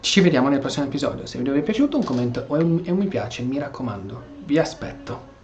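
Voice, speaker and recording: A man's voice: medium pitch, very animated, very fast, darkish tone, dull audio. Echoey Italian man commentating on a game. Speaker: gaming streamer. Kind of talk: casual gameplay commentary. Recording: roomy echo, faint music behind.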